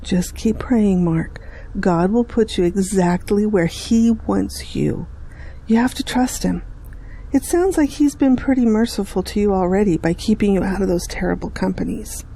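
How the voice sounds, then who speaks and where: A woman playing a patient, devout middle-aged mother, gently encouraging in an audio drama. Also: medium pitch, normal speed, slightly rough, rough recording. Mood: reassuring.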